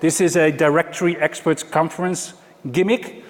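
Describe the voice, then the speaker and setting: A man's voice: on the high side, fairly even intonation, normal speed. A man from the Netherlands with a Dutch accent, giving a conference talk with dry, self-mocking humor. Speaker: conference presenter. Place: large conference hall. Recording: reverberant hall.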